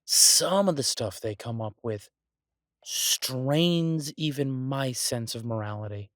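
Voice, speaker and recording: Guy doing a boyfriend roleplay as an exasperated chef, mid pitched, incredulous ranting but fond, really dry recording. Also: slow, no echo, natural voice. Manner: ranting, incredulous, emphatic with repeated words. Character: exasperated but affectionate human boyfriend, restaurant chef for supernatural guests. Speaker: audio roleplay performer. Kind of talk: boyfriend roleplay audio, conversational monologue to a listener.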